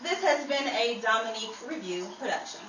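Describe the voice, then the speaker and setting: A woman's voice: fairly high pitch, lively intonation, a little fast. A woman announcer reading scripted credits in a radio-show style. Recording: echoey room, distant mic, faint noise.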